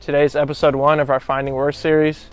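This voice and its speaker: Male interviewer, 20s, slightly high register, informal hosting delivery over a faint music bed. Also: level tone, fairly quick. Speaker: interviewer and host. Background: background music.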